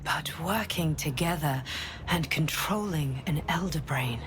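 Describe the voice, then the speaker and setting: A woman playing a solemn, commanding guardian in a fantasy video game, speaking gravely and earnestly over music. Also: low voice, measured pace, a little hiss or hum. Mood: grave, analytical. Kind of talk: dramatic video game acting.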